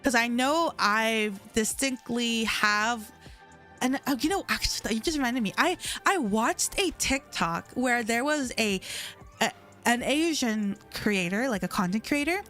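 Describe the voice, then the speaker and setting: A woman's voice: fairly high pitch, expressive, measured pace, pushed and bright, faint music behind. Mumbling woman streamer with a lisp. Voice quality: slight lisp. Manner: mumbles and stumbles over words. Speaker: game streamer. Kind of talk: casual live-stream chat while playing.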